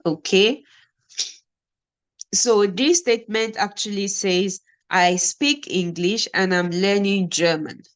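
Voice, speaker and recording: Very slow-talking Nigerian woman teacher. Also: mid-range voice. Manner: slow, repeats example phrases word by word. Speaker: German teacher. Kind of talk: slow classroom explanation.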